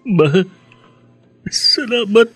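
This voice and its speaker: High-voiced repentant grown son. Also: male voice, animated, extremely slow, fairly bright tone, husky, no echo, soft background music, heavily acted. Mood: grateful, earnest. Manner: earnest, pleading. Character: repentant, grateful grown son back from rehab. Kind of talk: emotional radio drama acting.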